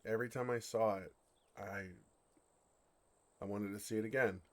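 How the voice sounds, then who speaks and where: A man playing a bashful, lovestruck male dragon in human form for an ASMR fantasy roleplay, speaking in a halting, earnest way. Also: fairly low pitch, steady tone, lightly acted. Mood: tender, wistful. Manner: flustered, halting, earnest delivery.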